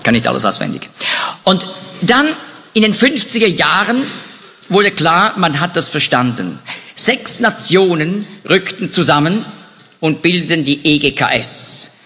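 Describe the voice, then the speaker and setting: Animated man lecturing in an echoey hall. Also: a little high, unhurried, slight background noise. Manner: lively, animated delivery with hesitations. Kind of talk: lecture on European history.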